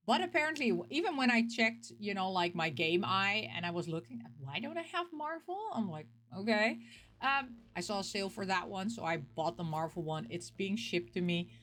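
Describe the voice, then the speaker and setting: A middle-aged woman with an average-pitched voice talks as a casual vlog host. She rambles in an animated, chatty way, drifting into asides and questioning herself as she goes. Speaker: video game collecting show host. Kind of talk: casual rambling vlog chat about game pick-ups.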